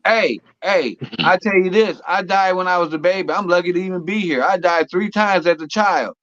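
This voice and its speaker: A man's voice: high voice, normal speed, fairly bright tone, muffled recording. A man in his forties chatting casually on a panel, slangy and emphatic, with plenty of swearing. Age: 40s. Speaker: panelist on an open panel show. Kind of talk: casual group chat.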